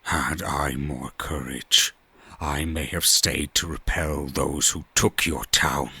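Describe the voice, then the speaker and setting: A very deep, slow voice of a male actor plays a tired survivor of war. He is husky, grave and full of regret, delivering a solemn dramatic monologue. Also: steady tone, pushed and bright, dry recording, full character voice. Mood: weary, regretful.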